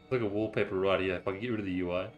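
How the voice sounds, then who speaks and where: A man's voice: low voice, fairly even intonation, rapid-fire, dull audio, faint music behind. A man, a native Vietnamese speaker, chatting casually and rambling through gameplay commentary on stream.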